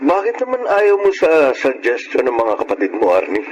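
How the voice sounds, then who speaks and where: A man's voice: medium pitch, huge pitch swings, normal speed. A man playing a persistent, persuasive, teasing matchmaking buddy in a Filipino radio drama. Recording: dull, noisy radio drama recording.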